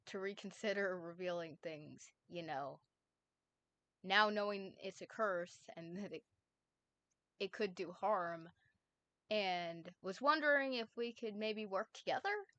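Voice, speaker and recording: A woman's voice: medium pitch, fairly bright tone. A woman reading as a nervy teenage boy. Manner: rambling, hesitant delivery.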